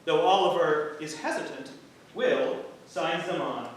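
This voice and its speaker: A man's voice: fairly high pitch, a little slow. A man giving theatrical scripted narration as an actor reading a play synopsis. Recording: echoey hall from far off, faint noise.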